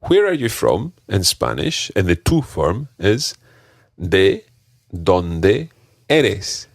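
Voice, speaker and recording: Deep-voiced, lively male teacher. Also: breathy edge, no room sound, clean studio sound. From Scotland. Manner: patient, slow model pronunciation with repeated drills. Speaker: language teacher. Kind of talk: teaching a podcast language lesson.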